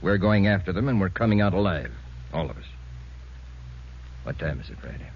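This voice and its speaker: An actor playing a commanding police captain giving a measured, methodical briefing, in 1950s radio drama style, on a dull lo-fi old radio broadcast. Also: male voice, low-pitched, animated, vocal fry, breathy edge, full character voice. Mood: grim.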